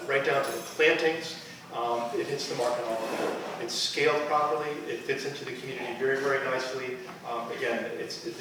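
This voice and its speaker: A man, a town planning director, giving an earnest awards-night speech full of fillers. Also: mid-range voice. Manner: brisk, earnest, with many fillers. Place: banquet room at an awards dinner. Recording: distant echoey hall with noise.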